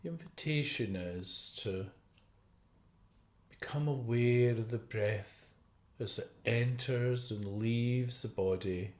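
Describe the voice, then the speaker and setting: A man leading a guided meditation as a teacher, speaking gently and unhurriedly with long pauses. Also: medium pitch. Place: indoor room. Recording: roomy, echoey video call recording.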